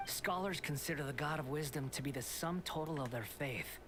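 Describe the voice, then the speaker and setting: A man's voice: a little high, a little fast, noisy background, close to an everyday voice. Man voicing a smug whispering god. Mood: taunting. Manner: taunting, condescending. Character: smug, mocking young male puppet god.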